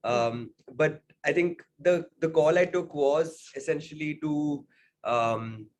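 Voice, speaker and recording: A man's voice: on the high side, slow pace. Thoughtful young man musing in an interview. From India. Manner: thoughtful, many fillers and "right?" tags. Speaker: singer-songwriter guest. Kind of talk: reflective interview answers.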